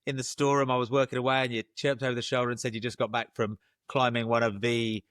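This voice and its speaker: A man's voice: medium pitch, little pitch movement, fast, fairly bright tone, no room sound, studio quality. A middle-aged man hosting a casual interview podcast, enthusiastic and a little rambling, often restarting his sentences. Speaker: podcast host.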